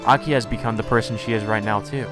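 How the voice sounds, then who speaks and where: A man's voice: fairly low pitch, fairly even intonation, quick pace, close to an everyday voice. A man playing a brooding, easily puzzled teenage boy who narrates a visual novel introspectively over music. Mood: wistful.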